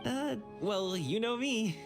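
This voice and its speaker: A man's voice: high voice, expressive, slow pace, music in the background, exaggerated voice. An actor playing a friendly, eager, modest young man in an anime-style video game. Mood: friendly, sheepish.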